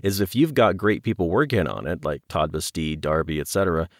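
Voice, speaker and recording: Low-voiced man, halting casual talk. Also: animated, dry recording, clean studio sound. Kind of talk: casual interview chat.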